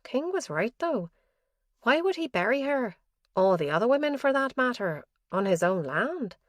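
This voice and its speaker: A woman narrating a mystery thriller audiobook in an even, measured way. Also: a little high, no echo, studio quality. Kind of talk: scripted narration.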